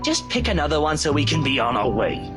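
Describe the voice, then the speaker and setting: A woman's voice: low-pitched, very animated, a little fast. A woman playing an exasperated, sardonic adult minding a small child in a visual novel, snide and theatrical with sugary pet names. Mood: exasperated, coaxing. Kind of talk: visual novel character acting. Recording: music under the voice.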